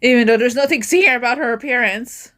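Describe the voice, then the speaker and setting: High-voiced woman, amateur game voice acting. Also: fast, a bit bright. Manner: acted, reading scripted lines. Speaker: amateur voice actor. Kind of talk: amateur character voice-over of game dialogue.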